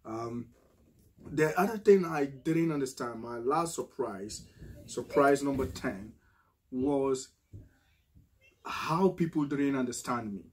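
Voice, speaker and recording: A man's voice: medium pitch, animated, slow pace, long pauses, husky. A middle-aged man from Ghana with a Ghanaian accent, talking casually to camera as a vlogger, often repeating words and tagging "right?" onto his sentences. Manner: conversational, frequent restarts and "right?" tag questions. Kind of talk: casual advice monologue.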